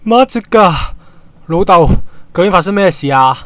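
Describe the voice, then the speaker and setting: A man's voice: sits high, lively intonation, normal speed, a bit bright, no room sound. A man playing a polite, sincere schoolboy footballer in a radio drama, with Hong Kong Cantonese speech and a slightly hesitant manner. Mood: startled. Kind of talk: Hobby radio drama acting. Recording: music bed under the dialogue.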